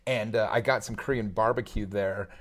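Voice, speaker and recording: A man's voice: lowish voice, steady tone, normal speed. A man in his thirties hosting an interview, upbeat and laughing often, on a lapel mic in a large indoor studio. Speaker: podcast host. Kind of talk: casual interview chat.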